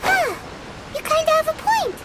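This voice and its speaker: A woman voicing a small, excitable, cheeky floating mascot child in a video game, squeaky and exaggerated. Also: moderate pace, poor audio. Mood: curious, teasing. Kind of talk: cartoonish video game voice acting. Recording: music under the dialogue. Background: music.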